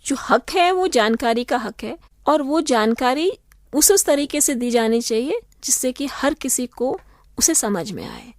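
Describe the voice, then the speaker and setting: A woman law professor answering interview questions in a measured, explanatory way, slipping in English phrases. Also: sits high, sing-song, no echo, clean studio sound. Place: radio studio.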